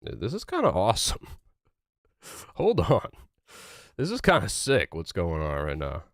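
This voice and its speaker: A young male streamer with a low, medium-deep voice talks loosely to his chat while he plays, wandering from thought to thought with plenty of "like" and restarts. The sound is dry and close, as if right into a desk mic. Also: huge pitch swings, a little slow, dry recording. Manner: rambling, filler-heavy, laid-back. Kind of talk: casual livestream chat while gaming.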